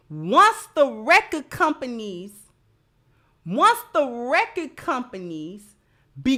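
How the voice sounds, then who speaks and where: A woman's voice: sits high, pushed and bright. An American woman hosting a live commentary show, speaking emphatically and repeating phrases to drive them home. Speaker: show host. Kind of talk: live commentary and sponsor read.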